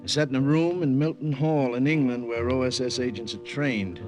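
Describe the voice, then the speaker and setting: A man's voice: mid-range voice, fairly even intonation, normal speed, lightly acted. Man playing a brash American wartime agent, music behind. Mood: wry, bored. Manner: wisecracking, slangy, breezy. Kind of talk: 1950s radio drama acting and narration. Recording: old 1950s radio broadcast.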